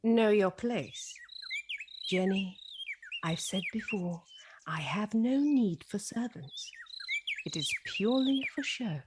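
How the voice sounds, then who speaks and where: A woman's voice: mid-range voice, expressive, background noise. A woman playing a proud, genteel reptilian lady of an ancient race in an audio drama, speaking formally and precisely. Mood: haughty, matter-of-fact. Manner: formal, measured, precise, teasing.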